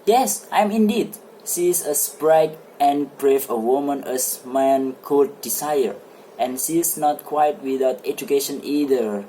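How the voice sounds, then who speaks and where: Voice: medium pitch, measured pace, dark tone, slight background noise, natural voice, low-quality recording. An amateur reader playing a talkative, self-satisfied married man in a stage play, with eager, formal phrasing. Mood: proud. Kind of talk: amateur dramatic play reading.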